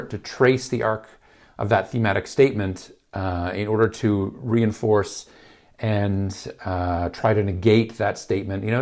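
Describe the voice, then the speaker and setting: A middle-aged man, still a bit gravelly after being sick, hosting a casual filmmaking lesson in a big office, rambling with lots of fillers and restarts. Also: on the low side, a little mellow. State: slightly gravelly from illness. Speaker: filmmaking channel host. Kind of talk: casual talk to camera. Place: large indoor office space.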